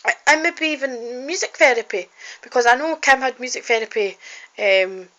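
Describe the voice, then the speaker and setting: A woman's voice: on the high side, moderate pace, bright, forceful tone. A woman, a singer-songwriter and college student, talks in a heartfelt, rambling way to a phone camera for her video diary, with frequent ums and restarts. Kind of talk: personal video diary, casual talk to camera.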